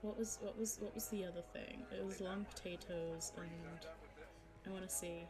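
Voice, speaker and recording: Young woman, streamer, chatty and giggly, fairly low voice, sore throat, music in background. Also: fairly even intonation, normal speed. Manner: chatty, giggly, self-mocking. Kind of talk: casual chat with viewers. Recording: music playing behind the voice.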